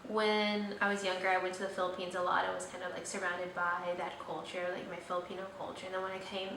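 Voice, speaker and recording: A woman's voice: lowish voice, monotone, fast talker, fairly bright tone. A young woman hosting her vlog, chatting casually and rambling with lots of fillers. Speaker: vlogger host. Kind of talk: casual chat. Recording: echoey room, slightly distant mic, faint noise.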